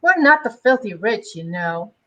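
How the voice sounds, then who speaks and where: A woman's voice: fairly high pitch, very animated, moderate pace, muffled recording. A woman acting catty, snobbish young society gossips in an amateur dramatic reading of a romance comic, with a theatrical, sardonic delivery. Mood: breezy.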